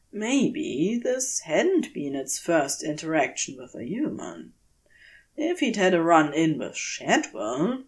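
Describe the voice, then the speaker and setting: Female fiction narrator, lively swooping intonation, unhurried delivery, measured dramatic phrasing. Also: on the low side. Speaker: fan fiction podfic reader. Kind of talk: expressive scripted story narration.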